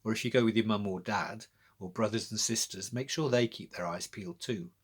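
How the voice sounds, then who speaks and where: A man's voice: lowish voice, a little fast, slightly rough. A man reading children's poems and chatting about them, speaking directly to young listeners and asking them questions. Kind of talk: children's poetry reading with friendly explanatory chat.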